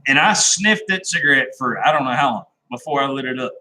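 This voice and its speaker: Man, live-stream host, plain country talker, rambling, bright tone. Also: medium pitch, expressive, quick pace. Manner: rambling and laid-back, with many "uh"s and restarts. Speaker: livestream host chatting with viewers. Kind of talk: casual live-stream chat.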